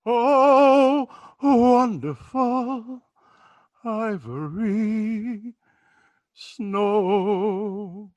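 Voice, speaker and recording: A woman's voice: fairly high pitch, extremely slow, a little mellow, no room sound. A woman singing a commercial jingle in 1940s radio style. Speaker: jingle singer.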